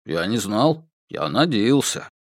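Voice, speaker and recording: A man's voice: on the low side, very animated, takes it slowly, no room sound, studio quality, exaggerated voice. A man voicing a wealthy, confident foreign man in an audiobook, terse, cool and forward. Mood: pleased. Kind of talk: audiobook character voice.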